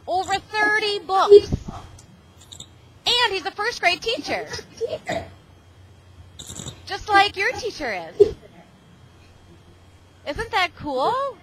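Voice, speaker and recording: Middle-aged lady reading to a class on a video call, bright voice, really swoopy, some hiss behind her. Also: very high-pitched, drawn-out words, pauses often. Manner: animated, exclamatory, asks the children questions. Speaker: reading tutor reading aloud to a school class. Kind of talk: interactive children's read-aloud over video call. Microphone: video call microphone.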